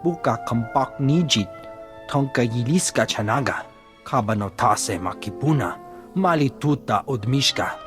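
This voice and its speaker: A man's voice: mid-range voice, expressive, normal speed, music in the background, natural voice. Man voicing an alien trader, rolling invented tongue. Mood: businesslike. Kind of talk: video game voice acting.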